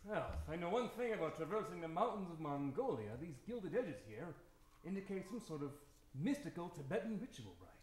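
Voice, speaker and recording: An actor playing an earnest know-it-all adventurer, declamatory with dramatic pauses, in an old-time radio adventure parody. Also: male voice, on the high side, very animated. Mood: portentous. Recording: roomy live stage sound.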